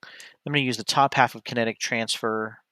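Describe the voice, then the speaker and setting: A man's voice: mid-range voice, steady tone, moderate pace, pushed and bright, dry recording. A man streaming solo board game play, talking casually through each move and often correcting himself as he decides. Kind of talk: casual play-by-play commentary, thinking aloud.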